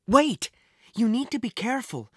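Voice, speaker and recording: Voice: medium pitch, animated, normal speed, a bit bright, no echo, clean studio sound, voice pushed up, close to an everyday voice. A storyteller voicing an earnest, anxious young girl in an adventure audiobook, sincere and a little hesitant. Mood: cautioning.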